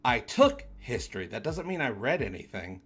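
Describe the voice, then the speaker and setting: A man's voice: mid-range voice, sing-song, normal speed, a bit bright, slightly rough, faint music behind. A man playing a casual, flippant starship helmsman in a sci-fi comic read-along, breezy and joking.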